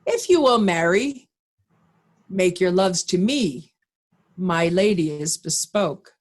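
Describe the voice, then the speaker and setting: A stern and righteous duke, played by a woman, speaks Shakespearean verse at an unhurried pace. The delivery is formal and commanding, like someone used to leading an army. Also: lowish voice, expressive. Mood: accusing, resolute. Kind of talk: Shakespearean reader's theatre.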